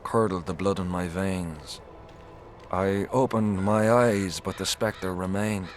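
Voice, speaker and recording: A man's voice: deep voice, steady tone, takes it slowly, soft background music. An actor playing a shaken, embittered common soldier in a video game, telling his story bluntly and dramatically. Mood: fearful, hushed. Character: shaken, embittered low-ranking soldier, humiliated and haunted.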